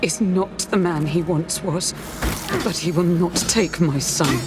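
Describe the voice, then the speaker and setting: An actress playing a devoted, resolute queen and protective mother, speaking gently and earnestly in a game cutscene. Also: lowish voice, slow pace, loud music behind the voice. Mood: grave, resolute. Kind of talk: video game cutscene drama acting.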